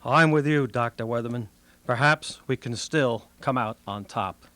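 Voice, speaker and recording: A keen male lab sidekick, played by a man in a golden-age radio play. He has a medium-pitched voice and sounds loyal and a little scheming. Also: normal speed, a bit bright, no echo, natural voice. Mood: keen, conspiring.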